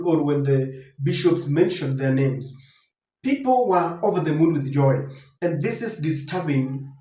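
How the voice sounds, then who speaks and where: High-pitched Kenyan man, political commentary. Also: dark tone. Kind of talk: unscripted political commentary to viewers. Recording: roomy, echoing voice recording.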